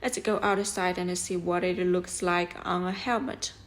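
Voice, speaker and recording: A woman's voice: fairly low pitch, fairly even intonation, measured pace. A woman presenting a product review as scripted narration, explaining things step by step in an instructional way.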